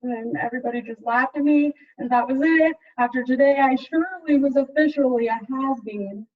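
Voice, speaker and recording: A woman teacher reading a storybook aloud to students in an animated, chatty way, with casual asides. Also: high-pitched, normal speed, phone-quality sound. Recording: distant mic, thin band-limited sound.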